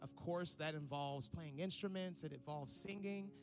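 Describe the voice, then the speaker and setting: A man's voice: fairly high pitch, moderate pace, soft background music. A man serving as worship pastor, speaking to the church in an upbeat, conversational way and asking for the congregation's response. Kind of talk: church announcement.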